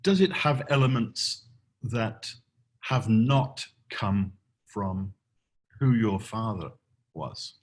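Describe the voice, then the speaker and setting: A man hosting an interview, asking measured questions and giving brief responses. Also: fairly low pitch, animated. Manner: measured, deliberate questions with short backchannels. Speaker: radio interviewer host.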